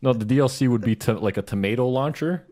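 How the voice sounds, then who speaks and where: A man's voice: mid-range voice, fast, warm tone. A man talking casually as a podcast co-host. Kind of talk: casual chat.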